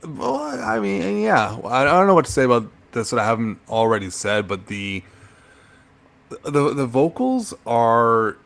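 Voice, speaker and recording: A middle-aged man co-hosting a casual music podcast, talking in a thoughtful, measured way with frequent pauses and ums. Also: mid-range voice, sing-song, gravelly, fairly bright tone. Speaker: podcast co-host.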